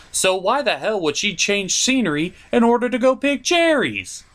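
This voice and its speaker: Animated, sarcastic male cartoon reviewer. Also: high voice. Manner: animated, sarcastic, swears freely. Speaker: host. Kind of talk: comedic cartoon episode review.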